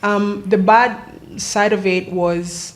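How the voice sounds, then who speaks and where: A woman, telling her life story as a podcast guest in a reflective way, with frequent pauses and fillers. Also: mid-range voice, fairly even intonation. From Kenya. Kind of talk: casual interview storytelling.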